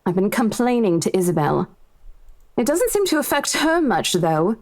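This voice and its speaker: A woman's voice: medium pitch, big pitch swings, moderate pace, darkish tone. An actress playing a friendly, gracious young woman in a visual novel, casual and concerned in manner. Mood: easygoing.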